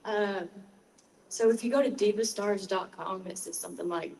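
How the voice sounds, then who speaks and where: A woman's voice: mid-range voice, moderate pace, creaky, a little mellow. A woman from the audience asking a panel question politely and tentatively. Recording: distant mic with faint noise.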